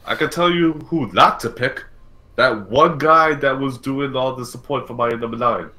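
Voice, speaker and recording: A man's voice: medium pitch, normal speed, bright, forceful tone. A man co-hosting a casual live stream podcast, speaking a little hesitantly and often repeating himself. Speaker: podcast co-host.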